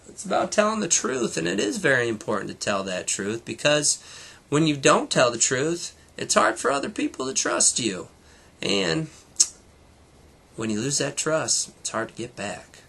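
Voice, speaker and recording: A man reading a children's story expressively, pausing to explain and comment for young listeners. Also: mid-range voice, creaky, bright, forceful tone. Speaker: storybook reader for schoolchildren. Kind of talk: expressive children's read-aloud.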